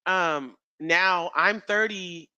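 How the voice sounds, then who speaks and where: Bright-voiced man in his thirties. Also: sits high, clean tone, no room sound, highs cut off. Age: 30s. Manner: rambling, with fillers and quick laughs. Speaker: podcast co-host. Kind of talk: casual podcast chat.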